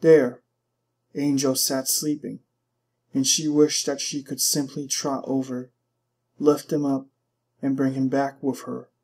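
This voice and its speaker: A man reading fiction aloud as a narrator, in an expressive, dramatic storytelling style. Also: mid-range voice, slow, pauses often. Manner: expressive, literary storytelling delivery.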